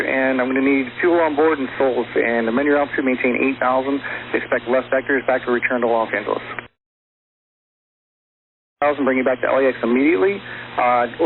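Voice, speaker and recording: A man with a medium-pitched voice directs aircraft as an air traffic controller. He talks fast in procedural phrases, through a tinny radio channel with light static. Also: fairly even intonation. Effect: aviation radio transmission. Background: faint radio noise.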